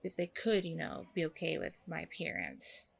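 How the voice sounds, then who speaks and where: Woman acting as chatty single mom on a blind date, kinda low creaky voice, candid n rambly, casual asmr roleplay style. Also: sing-song, normal speed, full character voice. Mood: self-conscious, relieved. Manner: rambling, candid, informal, with conversational hesitations.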